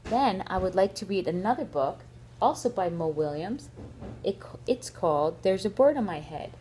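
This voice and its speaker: A woman's voice: medium pitch, expressive, normal speed, warm tone. A woman reading picture books aloud to young children, adding chatty asides as she goes. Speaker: storybook reader for young children. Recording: clear background noise.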